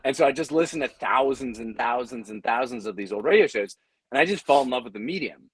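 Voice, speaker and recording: A man's voice: medium pitch, lively intonation, fast talker, slightly rough, poor audio. A man speaking casually as a panelist, telling anecdotes in a wry, self-deprecating way with emphatic repetition. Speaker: panelist, audio drama producer and company founder.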